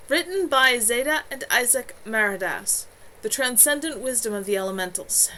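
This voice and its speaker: A woman's voice: a little high, lively intonation, normal speed, pushed and bright, voice pushed up. A woman voicing an ambitious female witch from a storybook, imperious and businesslike. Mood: calm, demanding. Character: ambitious witch coven member, female, hungry for knowledge. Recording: clearly noisy home livestream.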